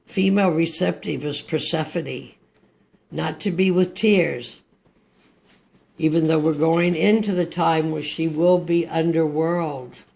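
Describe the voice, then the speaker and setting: A man's voice: on the high side, steady tone, gravelly, darkish tone. A man with a Texas accent giving a tarot card reading in a meandering, reflective way. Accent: Texan. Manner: slow, meandering, reflective delivery. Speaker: tarot reader.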